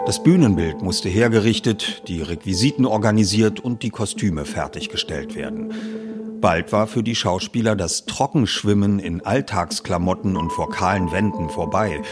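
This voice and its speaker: A man reading a youth detective story as narrator, in a measured, expressive storytelling way. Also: deep voice. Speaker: audiobook narrator. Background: music under the reading.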